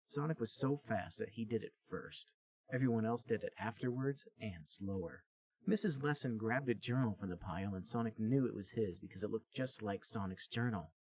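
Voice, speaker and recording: A man's voice: on the low side, normal speed, a little mellow. A man hosting a comedy podcast, narrating a story aloud in a plain storybook manner. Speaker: podcast host reading a story. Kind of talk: comic read-aloud narration.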